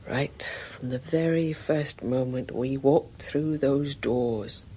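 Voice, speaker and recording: An actress playing an anguished, frightened young wife in a radio drama, breathy and halting, often slipping into whispers. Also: female voice, very deep voice, warm tone, a little hiss or hum. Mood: haunted, bitter. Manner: halting, fragmented, lingering delivery.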